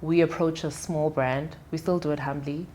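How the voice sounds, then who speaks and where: A woman's voice: low voice, steady tone, normal speed, mellow, a little hiss or hum. A woman, a business owner, explaining her business earnestly in a casual interview. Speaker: guest, entrepreneur.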